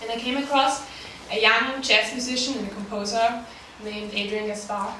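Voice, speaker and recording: A young Austrian-accented woman with a somewhat high voice gives an earnest, prepared talk, pausing on frequent ums. Her tone is bright and clear. The recording is distant and very echoey, with faint room ambience. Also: fairly even intonation, slight background noise. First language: German. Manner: earnest with light laughs and many ums. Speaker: staff writer.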